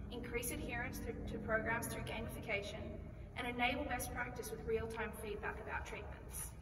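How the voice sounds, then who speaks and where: A woman with an Australian accent giving a rehearsed conference pitch, speaking in a clear and measured way and posing rhetorical questions. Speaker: company founder pitching. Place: large conference hall. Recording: echoey hall recorded from far off, noisy.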